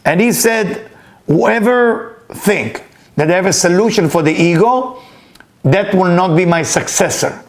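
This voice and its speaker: Man, high-pitched, earnest spiritual teacher, emphatic, repeats words. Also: expressive, unhurried. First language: Hebrew. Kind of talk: weekly inspirational talk.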